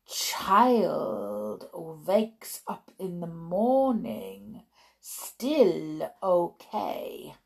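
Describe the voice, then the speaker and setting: A woman's voice: fairly low pitch, creaky, husky, exaggerated voice. An imperious, gloating old witch leader. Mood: sly, explaining. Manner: imperious, theatrical, broken English, deliberate drawn-out phrasing. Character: gloating, domineering old Grand High Witch, foreign-sounding villain.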